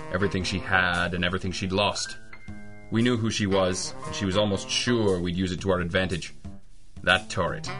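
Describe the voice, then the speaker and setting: A man's voice: deep voice, bright, forceful tone. A man playing a tough, wry, wisecracking private eye in an old-time radio detective drama. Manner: tough, wry, gruffly reassuring. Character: hard-boiled, wisecracking male private eye.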